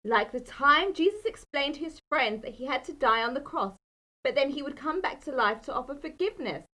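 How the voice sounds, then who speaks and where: A woman's voice: high voice, lively intonation, fairly quick, pushed and bright. A woman telling a children's bedtime Bible story in a dramatic, emphatic manner. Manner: dramatic, emphatic, asks the listeners questions.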